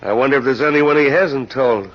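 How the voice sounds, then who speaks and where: A man's voice: medium pitch, fairly quick, close to an everyday voice. Man acting wry, plain-spoken police inspector. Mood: wry suspicion. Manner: clipped, wry, colloquial. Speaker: radio drama actor. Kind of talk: old-time radio mystery acting. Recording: dull, noisy old radio recording.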